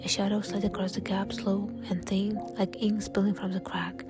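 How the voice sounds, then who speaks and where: A woman narrating a horror story in a breathy, unvoiced whisper. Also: mid-range voice, level tone, normal speed. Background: soft music.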